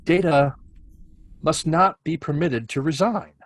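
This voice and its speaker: A man's voice: on the high side, measured pace, dry recording. A man playing an arrogant, impatient scientist and officer in a science fiction table read, with a dramatic, condescending delivery. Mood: heated.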